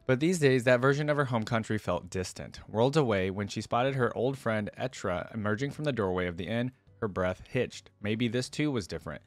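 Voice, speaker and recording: A man's voice: fairly low pitch, no echo. A young man hosting a reaction video, reading lore aloud and adding casual, chatty commentary into a close desktop microphone. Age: young adult.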